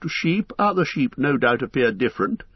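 A man's voice: mid-range voice, big pitch swings, normal speed, husky, no echo, lo-fi sound. A man voicing a lighthearted male elf minstrel who teases in a laughing way. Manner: teasing, laughing banter.

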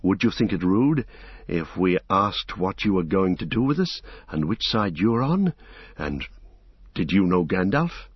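A man's voice: low voice, big pitch swings, a little slow, slightly rough, no echo, full character voice. A man voicing a polite, earnest young halfling in a storybook, asking things tentatively, on a lo-fi recording. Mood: polite, cautious.

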